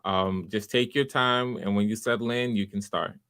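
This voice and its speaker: A man with a lowish voice hosts a live stream. He talks casually and haltingly, often doubling back on words as he works out what to say. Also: steady tone, fairly bright tone, clean tone. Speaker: host running a live voice audition. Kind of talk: casual livestream hosting.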